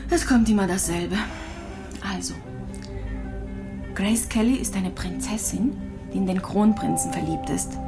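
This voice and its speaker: Radio drama role: dying immigrant woman, mid-pitched, wistful, resigned, halting and dreamy, music underneath. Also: creaky. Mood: wistful, absorbed. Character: gravely ill Kazakh-born immigrant woman, wistful and resigned. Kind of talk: radio drama dialogue and monologue.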